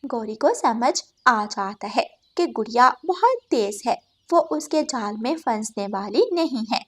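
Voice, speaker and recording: A woman's voice: a little high, animated, measured pace, husky. A woman reading scripted narration for a children's moral story as the storyteller.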